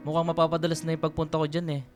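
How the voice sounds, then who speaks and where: A man's voice: fairly high pitch, completely flat delivery, racing, soft background music, close to an everyday voice. A man playing a shy, earnest young college suitor in a radio drama, hesitant and polite. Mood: friendly. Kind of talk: Filipino radio drama acting.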